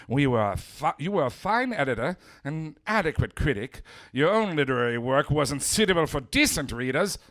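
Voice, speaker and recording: A man's voice: fairly high pitch, sing-song, moderate pace, a bit bright. An actor playing a stuffy, affronted male magazine publisher in a comedic radio play, prim and politely insulting.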